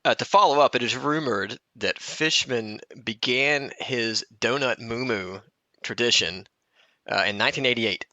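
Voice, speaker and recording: A man's voice: medium pitch, unhurried, pushed and bright, no room sound, highs cut off. A man co-hosting a podcast in casual conversation, with wry, playful asides. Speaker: podcast co-host. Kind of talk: casual chat.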